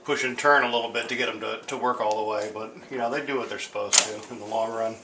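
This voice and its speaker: A man's voice: on the low side, steady tone, quick pace. A man giving a casual, unscripted demonstration in an informal, hesitant, explanatory way, as someone who restores machines. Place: indoor room at home. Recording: roomy, echoing sound with hiss.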